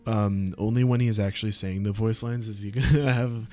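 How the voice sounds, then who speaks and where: A man's voice: lowish voice, fairly even intonation, mellow. Laid-back male streamer chatting. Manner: laughs between lines, quick asides. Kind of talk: casual stream chat.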